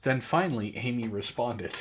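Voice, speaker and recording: A man's voice: medium pitch, animated, normal speed, husky. Mock-serious man reading aloud. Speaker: podcast co-host and story reader. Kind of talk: casual comedic read-aloud of amateur fiction with asides.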